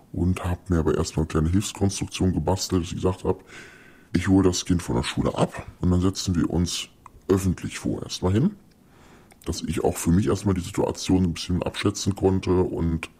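A man in his early thirties, voice disguised by distortion, speaking in a measured, self-questioning way in a documentary interview. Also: sits low, dark tone, husky. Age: early 30s.

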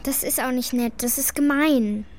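A woman's voice: sits high, moderate pace, slight background noise, natural voice. A female performer playing an earnest, frank schoolgirl in a children's radio play, speaking in a matter-of-fact, childlike way. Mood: frank, defensive.

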